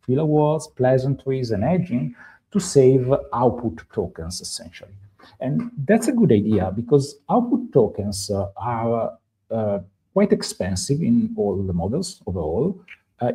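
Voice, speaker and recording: A man's voice: mid-range voice, mellow, rough recording. A man with an Italian accent, a native Italian speaker, presenting on a live tech stream in a hesitant way with many fillers and restarts. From Italy. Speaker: stream co-host presenting a guide.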